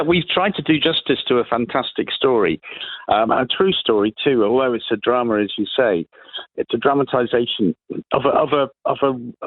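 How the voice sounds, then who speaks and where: A man's voice: medium pitch, breathy edge, no echo. A man being interviewed as a writer on the radio over the phone, conversational and hesitant, repeating words as he goes. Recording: narrow, tinny phone line.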